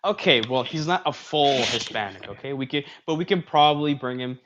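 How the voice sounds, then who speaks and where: A man's voice: medium pitch, lively intonation, a little fast, lo-fi sound, background noise. A man hosting a livestream in a casual, talkative way, reading social media posts aloud and commenting on them. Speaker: streamer host.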